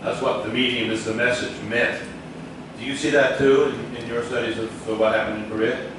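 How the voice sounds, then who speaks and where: A man's voice: mid-range voice, level tone, brisk. A man in an audience making an opinionated, expository comment that leads into a question. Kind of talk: discursive question from the floor. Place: echoey indoor lecture room. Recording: very echoey room, distant mic, noisy.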